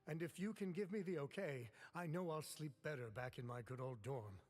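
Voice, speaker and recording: Man as a husky, weary, apologetic worker. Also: fairly high pitch, brisk, a little mellow, close to an everyday voice. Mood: apologetic, grateful. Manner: hesitant, apologetic. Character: weary, apologetic overworked male worker patient. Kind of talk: video game character acting.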